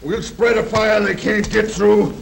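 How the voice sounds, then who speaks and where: An actor playing a male posse rider who shouts urgent orders in a 1930s western film. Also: sits high, fairly even intonation, a little slow, vocal fry, full character voice. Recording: very hissy 1930s film soundtrack.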